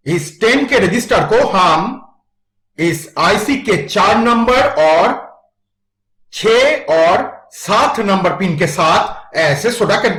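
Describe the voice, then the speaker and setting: A man's voice: sits high, a bit bright, roomy sound. A man giving a methodical, instructional step-by-step narration for an electronics tutorial.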